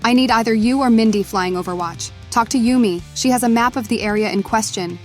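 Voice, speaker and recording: A woman's voice: on the high side, a little fast, faint music behind, lightly acted. A woman playing a stern, decisive military aircraft girl, giving clipped, urgent orders in an audio drama. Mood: urgent, commanding.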